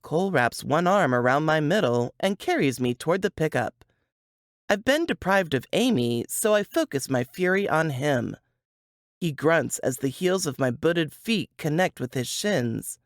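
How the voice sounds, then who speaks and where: A woman narrates first-person fiction with dramatic, deliberate phrasing. Her delivery is slow and very animated in pitch, captured in a dry, close sound. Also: no room sound. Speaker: author reading from a novel.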